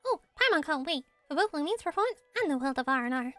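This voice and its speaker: A woman voicing a small, excitable, chatty and cheeky flying fairy companion in a fan-dubbed fantasy game. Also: high voice, quick pace, no echo, faint music behind. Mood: curious, eager. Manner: refers to self in third person. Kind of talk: game character voice acting, fan dub.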